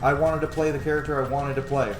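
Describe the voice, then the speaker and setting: A man's voice: mid-range voice, fairly even intonation, quick pace, noisy background. A man in his thirties giving casual commentary while playing a video game. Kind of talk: casual let's-play commentary. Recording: roomy sound under loud game audio. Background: loud game music and combat sounds.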